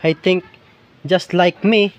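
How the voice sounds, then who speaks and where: A man from the Philippines with a Hiligaynon-flavored Filipino accent and Hiligaynon as his first language, narrating over nature footage in English, halting and repeating words as he hunts for the right phrase. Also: high voice, steady tone, muffled recording, a little hiss or hum. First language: Ilonggo (Hiligaynon). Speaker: vlogger filming larvae in a field.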